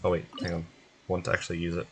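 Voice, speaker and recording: A man's voice: low-pitched, monotone, rapid-fire, fairly bright tone, slight background noise. A man streaming a game, muttering casually to himself as he plays. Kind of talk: casual muttering while playing.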